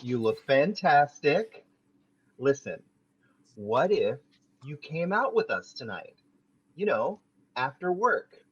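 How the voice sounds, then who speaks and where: A man's voice: fairly high pitch, very animated, slow, pauses often, breathy edge, highs cut off, heavily acted. A man reading a vain, bossy young man in an amateur table read. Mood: coaxing. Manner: bossy, coaxing, self-important.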